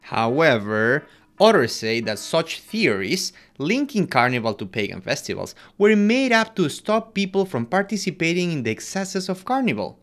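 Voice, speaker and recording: A man from Ecuador co-hosting a podcast, reading a scripted history segment in an expressive way. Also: moderate pace. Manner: animated, expressive delivery with wide pitch swings. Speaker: podcast co-host.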